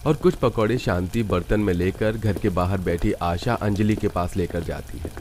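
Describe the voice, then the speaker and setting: A man narrating a cartoon story in a steady, scripted storytelling manner. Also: sits low, moderate pace, slight background noise.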